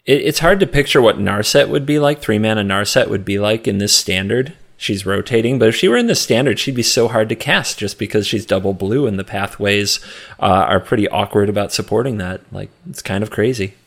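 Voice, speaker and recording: A man's voice: on the low side, a little fast, creaky. A man co-hosting a casual discussion show about a card game, talking in a wry, joking way. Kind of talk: casual chat and game commentary.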